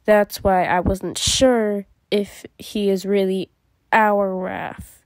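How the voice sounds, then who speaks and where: A woman acting out characters for a fan comic dub in a mellow voice, with hesitant, dramatic pauses. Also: mid-range voice, little pitch movement, dry recording.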